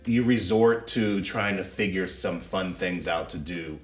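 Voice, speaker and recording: A man's voice: low voice, unhurried, reverberant, soft background music. A man hosting a casual talk show, chatting in a laid-back, teasing way. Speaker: host. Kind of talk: casual chat.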